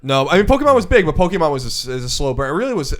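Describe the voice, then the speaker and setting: A man's voice: a little high, big pitch swings, racing. A man co-hosting a podcast, talking casually and with emphatic opinions in a panel discussion. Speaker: podcast co-host.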